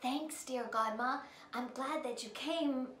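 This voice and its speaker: Woman playing a spunky high-pitched young girl. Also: slow, fairly bright tone, echoey room, distant mic, a little hiss or hum. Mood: polite, hesitant. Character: spunky, polite young girl, eager Cinderella character.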